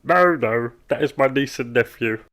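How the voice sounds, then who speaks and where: An actor playing a long-suffering, irritable office worker in an animated comedy, terse and strained in his politeness. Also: mid-range voice, normal speed, natural voice. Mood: forced politeness. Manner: terse, forced politeness, exasperated. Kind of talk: comic animated dialogue.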